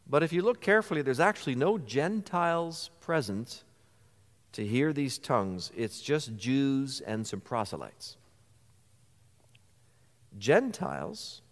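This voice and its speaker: A man preaching a sermon as pastor, earnest, posing rhetorical questions to the congregation. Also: medium pitch. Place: church sanctuary. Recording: church hall reverb.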